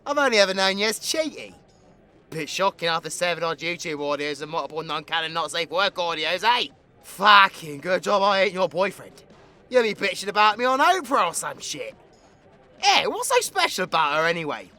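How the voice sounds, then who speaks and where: Man playing a British supervillain, audio roleplay, high bright voice, brash, theatrical, teasing, crude and flirtatious. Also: very animated, normal speed, exaggerated voice. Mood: exasperated ranting.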